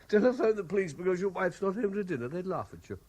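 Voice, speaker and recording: A man's voice: high voice, big pitch swings, brisk, a little mellow, husky, full character voice. A British actor playing a guilt-ridden, evasive man in a radio drama, with a faltering, hesitant delivery. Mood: defensive. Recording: noisy vintage 1970s radio drama recording.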